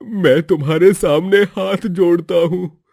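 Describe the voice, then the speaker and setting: A man voicing a frantic old village grandfather who begs in a halting, tearful, repetitive way. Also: high voice, fairly even intonation, creaky, a little mellow, dry recording, studio quality, full character voice. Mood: distressed, pleading.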